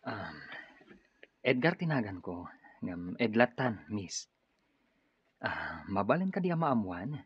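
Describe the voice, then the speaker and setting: A man with an Ilocano accent playing a smooth-talking, persuasive stranger in a radio drama. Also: fairly low pitch, big pitch swings, slow, long pauses, dry recording, full character voice. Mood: courteous, flattering. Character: Edgar, smooth-talking male stranger, persuasive recruiter for a group. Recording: dull lo-fi radio drama recording.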